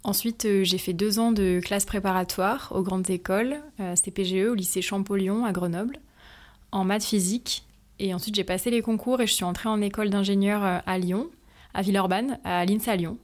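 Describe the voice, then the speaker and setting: A young French woman talks through her career in an interview, pausing often on hesitant fillers. Her voice sits in an ordinary middle pitch. She explains each step carefully. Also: fairly even intonation. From France. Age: 20s. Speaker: interview guest, factory team manager. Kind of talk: answering interview questions.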